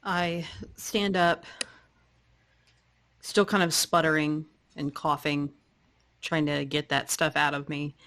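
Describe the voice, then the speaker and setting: Slow-talking, casual tabletop player. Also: mid-range voice, little pitch movement, bright, forceful tone. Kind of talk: casual role-play, describing a character's actions.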